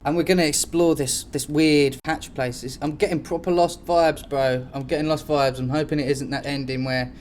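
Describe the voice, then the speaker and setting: A man's voice: on the high side, fairly even intonation, moderate pace, hardly any pauses, a little mellow, slight background noise. A man with a British accent hosting a casual, improvised podcast, chatting in slangy, sweary language. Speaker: podcast host.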